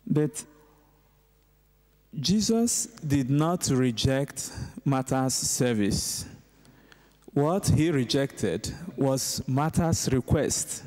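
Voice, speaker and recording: Middle-aged male priest, homily, unhurried slow delivery, mid-pitched voice, measured pauses. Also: lively intonation, a little mellow. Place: inside a large church, at the lectern. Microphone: gooseneck lectern microphone.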